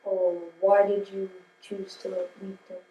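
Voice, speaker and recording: Flat-voiced young woman reading questions. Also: medium pitch, unhurried, reverberant, lo-fi sound. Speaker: interviewer, grandchild.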